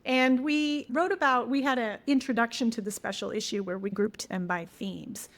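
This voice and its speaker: Woman, guest editor, higher voice, organized, enthusiastic. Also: fairly even intonation, normal speed. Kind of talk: academic interview.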